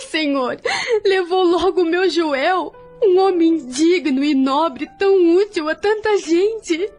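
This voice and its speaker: A woman's voice: very high-pitched, a little mellow. An actress playing a devoted, emotional wife and mother in an audio drama, with a Brazilian accent and an earnest, pleading manner. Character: devoted wife, then grieving, despairing widowed mother. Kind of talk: melodramatic audio drama acting.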